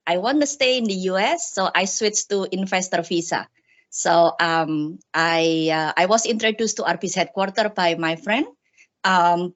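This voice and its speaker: This is a woman from Indonesia speaking as a guest at a roundtable, her voice sitting a little low. She talks in a halting way, stopping often for ums and uhs. The recording is muffled and lo-fi. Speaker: franchise owner, roundtable guest. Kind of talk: casual roundtable storytelling.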